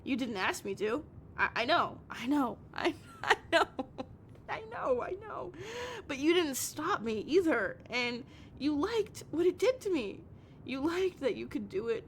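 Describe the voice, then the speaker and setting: A woman playing a drunk, heartbroken, obsessive young lover in an intimate audio roleplay, tearful and halting, stammering and repeating herself. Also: sits high, sing-song, slight background noise, exaggerated voice. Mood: bitter, agitated.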